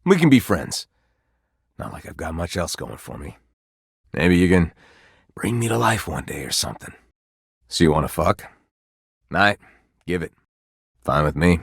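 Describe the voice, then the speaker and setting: A living candelabra from a video game, a washed-up fraud with a low, husky voice. He is blunt, sulky and flirty, and his pitch moves around freely as he talks. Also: big pitch swings, measured pace, pauses often, dry recording, clean studio sound, full character voice. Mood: resigned, casual. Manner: blunt, resigned, casual. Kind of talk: video game character dialogue.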